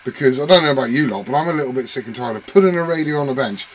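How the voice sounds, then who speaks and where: A man's voice: mid-range voice, lively intonation, rapid-fire, slight background noise, low-quality recording. A man rambling casually and self-deprecatingly to camera about his radio gear at home. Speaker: CB radio hobbyist vlogger.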